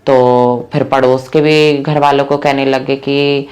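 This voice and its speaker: A woman's voice: very deep voice, level tone, unhurried, a little mellow. Woman, young adult, earnest, plain-spoken, personal storytelling. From Rohtak, Haryana, India. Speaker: interviewee. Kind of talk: personal life story told to camera.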